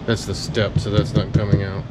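A man's voice: on the low side, completely flat delivery, normal speed. A man, around his forties, chatting casually and thinking aloud as he vlogs on a handheld camera. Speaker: sailing vlogger. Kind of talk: casual vlog narration while working. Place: aboard a boat and outdoors at a marina. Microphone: handheld camera at arm's length. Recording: noisy handheld action-camera audio with ambient hum.

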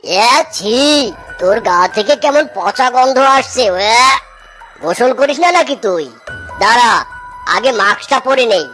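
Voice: medium pitch, animated, takes it slowly. A performer voicing a male tiger uncle in a children's cartoon, an ice cream seller who calls out repetitively. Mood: disgusted. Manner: calling out, repetitive sales patter. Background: music.

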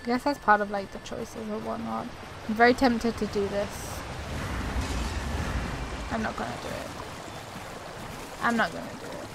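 A woman's voice: a little high, steady tone, moderate pace, gravelly, pauses often, heavy background noise. A woman giving chatty, informal commentary on a video game playthrough. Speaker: gaming video creator. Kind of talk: casual game playthrough commentary, reading dialogue aloud. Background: video game ambience.

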